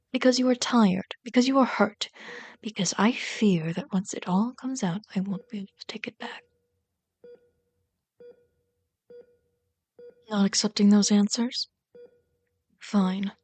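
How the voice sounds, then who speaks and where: A woman acts out a bitter villain hopelessly devoted to a hero's sidekick in an intimate ASMR roleplay. The delivery is slow and intense, at a middle pitch, often sinking into breathy whispers. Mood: guarded, exasperated.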